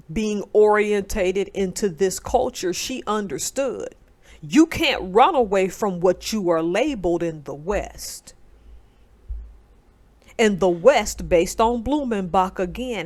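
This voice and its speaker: A woman, giving a casual, emphatic commentary monologue. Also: mid-range voice. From North Alabama, United States. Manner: emphatic, conversational, digressive. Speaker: commentator, military veteran.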